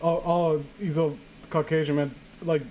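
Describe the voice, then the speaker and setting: Slightly high-voiced man, rambling comic read-aloud. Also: flat delivery, a little slow, a little mellow, rough recording. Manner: stumbles over words and digresses while reading. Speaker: host reading a horror story aloud with commentary. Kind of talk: casual comedic read-aloud with ad-libbed riffing. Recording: clear background noise.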